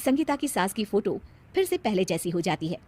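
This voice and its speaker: A woman with an Indian accent narrating a cartoon tale as expressive scripted storytelling. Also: mid-range voice, brisk.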